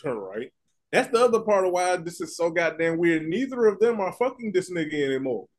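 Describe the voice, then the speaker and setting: A man co-hosting a casual gossip podcast, animated and profane, cracking jokes as he talks. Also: high voice, a little fast. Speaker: podcast co-host.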